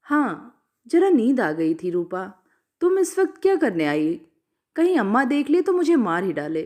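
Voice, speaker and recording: A woman's voice: fairly high pitch, sing-song, measured pace, a little mellow. A woman voicing an earnest, lovestruck young village man in a story, meek yet defiant and pleading. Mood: tender, troubled.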